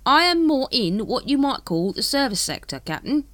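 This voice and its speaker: A woman voicing a shifty, fast-talking male street hawker with wheedling, conspiratorial sales patter in a storybook. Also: high-pitched, sing-song, fairly bright tone, no echo, voice pushed up.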